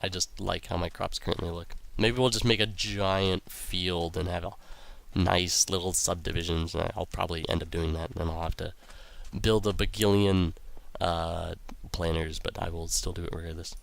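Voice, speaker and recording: Raspy-voiced young man commentating a game. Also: low-pitched, fairly even intonation, moderate pace. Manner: rambling, many ums and uhs, reads quest text aloud. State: raspy voice from illness. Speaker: let's play host.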